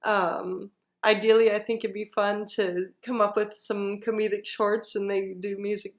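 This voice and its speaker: Female interview guest, medium pitch, loose conversational delivery. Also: flat delivery, a little slow. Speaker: guest musician and voice performer. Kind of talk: casual chat.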